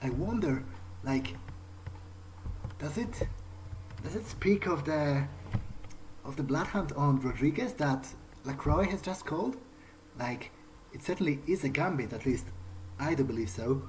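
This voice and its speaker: A man improvising in character during a game playthrough, speaking in a halting way and repeating words. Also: a little high, expressive, darkish tone, rough recording. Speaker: gamer roleplaying his character. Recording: faint background noise.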